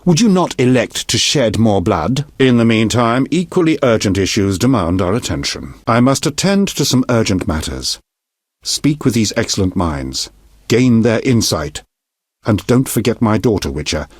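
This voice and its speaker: An actor playing a stern, shrewd middle-aged king in a video game, speaking formally and deliberately with a husky, commanding voice. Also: lowish voice, big pitch swings, dry recording, clean studio sound. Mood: stern, grave. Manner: formal, measured, imperious, deliberate diction. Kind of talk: scripted video game dialogue.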